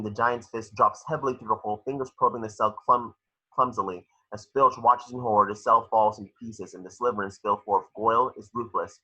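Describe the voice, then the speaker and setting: A man's voice: lowish voice, pushed and bright, husky, poor audio. A man reading stage directions aloud as narrator in a dramatic screenplay read-through.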